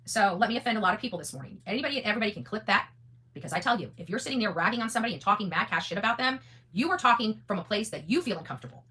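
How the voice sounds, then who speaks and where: Female online host, rapid-fire and forceful, blunt, defiant monologue with repeated phrases for emphasis. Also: medium pitch, animated. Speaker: online content creator and host. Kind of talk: unscripted opinionated monologue to an audience.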